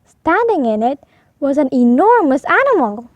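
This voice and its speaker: A woman reads a picture book aloud for young children. Her voice is high and very animated, with expressive storytelling and a dry, close sound. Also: moderate pace, dry recording, highs cut off.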